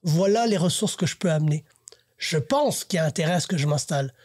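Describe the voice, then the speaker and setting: A man's voice: on the high side, lively intonation, fast, a little mellow, husky, no room sound, clean studio sound. A man, host of a podcast, talking earnestly in a casual panel discussion and thinking aloud as he goes. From Haitian family, raised in Canada.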